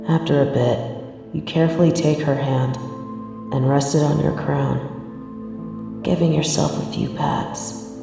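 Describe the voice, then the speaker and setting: A man's voice: fairly high pitch, fairly even intonation, slow, gravelly, long pauses. A man narrating a scripted second-person story as its narrator, with heavy reverb on the voice. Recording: long echoing reverb, music underneath. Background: music.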